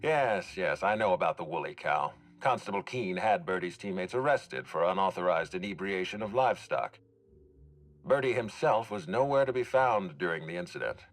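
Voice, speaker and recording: Video game role: stern, courteous middle-aged authority figure. Low pitch, measured and formal delivery. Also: male voice.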